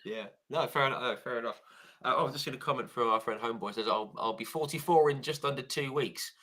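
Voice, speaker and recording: A man's voice: medium pitch, fast talker, bright, forceful tone. A man in his forties hosting a live chat show, easygoing, reading out viewer comments. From England. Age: 40s. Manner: friendly, reads viewer comments. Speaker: host.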